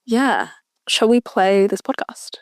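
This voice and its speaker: A young woman with an Australian accent co-hosting a student chat. She speaks in a friendly, loose way, with frequent ums. Her voice is smooth and close, with little room sound. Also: fairly high pitch, takes it slowly, no echo. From India. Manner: friendly, filler-heavy. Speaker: co-host. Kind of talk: casual discussion.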